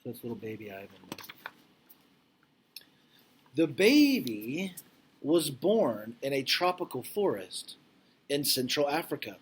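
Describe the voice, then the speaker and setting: A man reading a picture book aloud to children like a teacher, expressive and deliberate, with informal explanatory asides. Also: mid-range voice, slight background noise.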